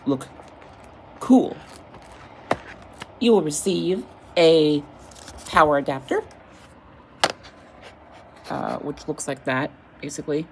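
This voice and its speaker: Low-voiced woman, casual and halting. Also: slight background noise, rough recording. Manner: informal, halting, vague wording. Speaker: product reviewer. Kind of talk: casual unboxing demonstration.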